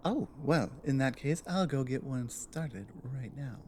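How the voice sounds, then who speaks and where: A woman playing a big, earnest, protective orc warrior in an intimate ASMR audio drama, speaking low in a halting, thoughtful way. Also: animated, warm tone, a little hiss or hum. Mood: matter-of-fact. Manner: halting, deliberate, earnest delivery with pauses.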